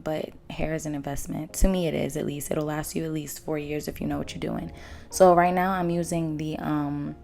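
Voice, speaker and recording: A hoarse young woman with a deep, gravelly voice talks her viewers through a hair tutorial in a chatty, blunt, slangy way. Her tone is dark and mellow, and soft music plays faintly behind her. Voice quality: naturally low voice. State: hoarse, lost voice after drinking. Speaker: beauty vlogger. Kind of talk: casual hair tutorial talk. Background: faint background music.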